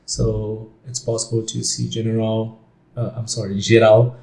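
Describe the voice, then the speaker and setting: A man gives a relaxed design tutorial, thinking out loud and correcting himself along the way. His voice is low, dark and gravelly, and his delivery is slow. The sound carries noticeable room echo. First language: Portuguese. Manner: relaxed, thinking aloud with fillers and self-corrections. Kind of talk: screen-share software tutorial.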